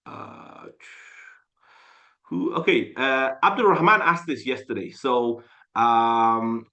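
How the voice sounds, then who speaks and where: A man running a casual live sales coaching class over a video call, animated, repeating phrases and filling with "like" and "uh". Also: mid-range voice, slow pace. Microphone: video call mic.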